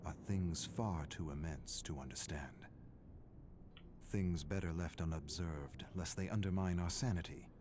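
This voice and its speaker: An actor playing a weary, brooding scholar trapped in an otherworld, narrating video game journal entries in a measured, solemn, formal manner. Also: male voice, low voice, a little mellow, slight background noise. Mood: ominous, philosophical. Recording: music behind the voice.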